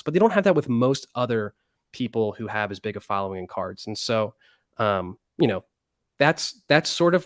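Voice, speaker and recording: A man's voice: medium pitch, dry recording, clean studio sound. A man answering interview questions in a loose, rambling way, full of fillers and restarts. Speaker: interview guest. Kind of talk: casual conversational interview.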